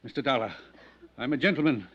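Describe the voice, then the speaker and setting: An actor playing a genteel but menacing wealthy husband in a 1950s radio drama, formal and precise. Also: male voice, mid-range voice, fast talker, close to an everyday voice. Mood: imperious, threatening. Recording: dull old radio recording.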